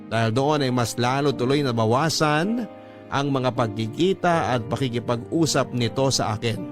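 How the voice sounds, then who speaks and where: A Filipino man with a mid-pitched voice reads a letter in the first person as a radio storyteller. Music plays clearly behind him. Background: background music.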